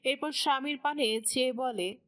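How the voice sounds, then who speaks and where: Casting: female narrator for scripted fiction, slightly high register, storytelling delivery. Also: normal speed, a bit bright.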